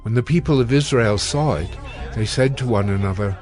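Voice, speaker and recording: A man narrating scripture in a solemn, measured, scripted dramatic style. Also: lowish voice, a little mellow, soft background music, some chatter behind.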